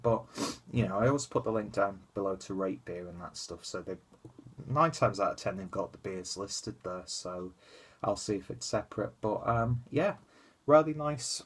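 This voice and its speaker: Young man, stuffy and nasal, low husky voice, rambling casual vlogger at his desk webcam. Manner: rambling, chatty, lots of fillers and asides. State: stuffy, congested nose. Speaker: beer reviewer. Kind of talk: casual beer review vlog. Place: small room at home, indoors.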